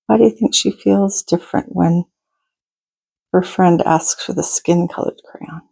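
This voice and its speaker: Slow, mellow woman reading a children's storybook. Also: fairly low pitch, gravelly, husky. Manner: deliberate, expressive, pauses to ask listeners questions. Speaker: storytime host reading a picture book. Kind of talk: read-aloud storytelling for children, with asides to listeners.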